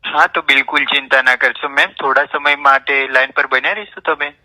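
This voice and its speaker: A man's voice: medium pitch, fairly even intonation, a little fast, pushed and bright. A man working as a helpline call handler, speaking politely and formally in placating customer-service phrases over the phone. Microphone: phone line. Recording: telephone call recording.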